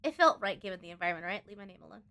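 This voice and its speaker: A woman streaming a game live, chatty and expressive, reading the on-screen story aloud and tossing in playful asides. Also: mid-range voice, rapid-fire. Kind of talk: live let's-play commentary, reading game text aloud.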